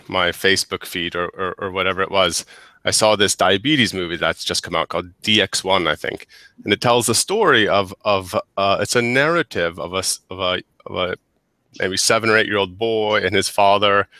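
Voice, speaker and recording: Deep-voiced man on a headset call. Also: sharp tone, no echo. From United States. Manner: many restarts and repeated words. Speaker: guest. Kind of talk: casual interview chat. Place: small office with whiteboard. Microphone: headset mic on a video call.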